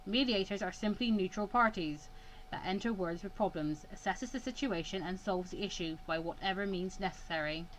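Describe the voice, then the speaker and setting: A woman's voice: medium pitch, normal speed, a little hiss or hum, close to an everyday voice, rough recording. Woman acting a stern, cold male mentor. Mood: cold, firm.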